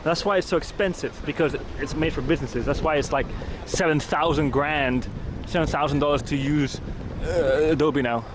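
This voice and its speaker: A man's voice: fairly high pitch, normal speed, few pauses. A man live-streaming as he walks outdoors by the water, talking to his chat in a casual, slangy way. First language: not Swedish. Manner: chatty, slangy, talks to chat. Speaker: livestreamer. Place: outdoors by the water, wind and rain. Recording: outdoor noise behind.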